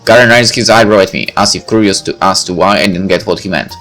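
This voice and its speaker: A man's voice: deep voice, quick pace, sharp tone. A man reading a visual novel aloud as narrator, with casual asides. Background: background music.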